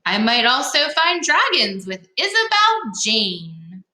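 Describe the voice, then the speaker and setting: Woman reading as an excitable storybook young boy, bright-voiced. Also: fairly high pitch, takes it slowly, full character voice. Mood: hopeful. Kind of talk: children's storybook read-aloud. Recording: echoey, roomy sound.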